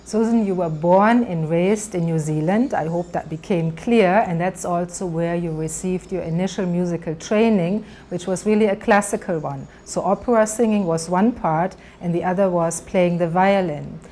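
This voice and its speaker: A woman interviewer putting prepared questions to a guest in a measured, formal way. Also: fairly low pitch, warm tone, a little hiss or hum. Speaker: interviewer, TV host.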